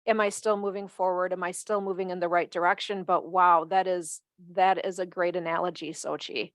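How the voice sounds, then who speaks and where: A woman's voice: lowish voice, steady tone, brisk, no room sound. 50s woman hosting a podcast, thoughtful, dry close sound. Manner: reflective, builds up to emphatic questions. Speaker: podcast host. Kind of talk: interviewing a guest.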